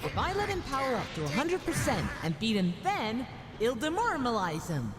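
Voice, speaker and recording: A performer voicing a cocky, deadpan young male fighter in a parody dub. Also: medium pitch, moderate pace. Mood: stubborn. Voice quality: deadpan, flippant. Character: cocky, bored young male martial artist. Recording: long reverb, clear noise behind.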